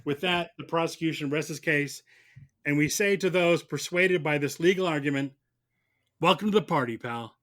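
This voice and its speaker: A man's voice: a little high, moderate pace, fairly bright tone. A man acting as a lawyer, delivering a scripted, mock-solemn closing argument in a declamatory way. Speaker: mock-trial lawyer on a podcast. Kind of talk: theatrical scripted courtroom closing speech.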